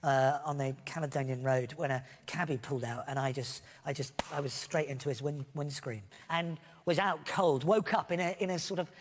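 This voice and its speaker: A man's voice: fairly high pitch, brisk, hardly any pauses. A man, a guest on a chat show, telling chatty self-deprecating anecdotes. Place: TV studio. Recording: long studio reverb, faint noise.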